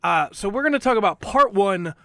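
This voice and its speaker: A man with a slightly worn, hoarse-edged voice talks through a casual solo review with eager, rambling energy, full of fillers and restarts. His tone is bright and forward, sitting a touch above typical pitch, and the recording is close and dry. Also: expressive, fairly quick, dry recording. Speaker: podcast host reviewing an audio drama.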